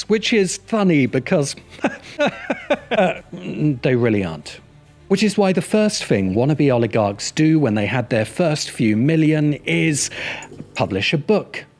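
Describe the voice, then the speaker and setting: A man narrating a scripted video essay as its host, with dry, sardonic asides. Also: mid-range voice.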